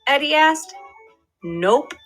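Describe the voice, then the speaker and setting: A woman reading a children's picture book aloud in an expressive, lively storytelling way. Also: high voice, slow, soft background music. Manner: lively, expressive storytelling with voiced character lines. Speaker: storybook reader. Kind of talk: children's read-aloud narration.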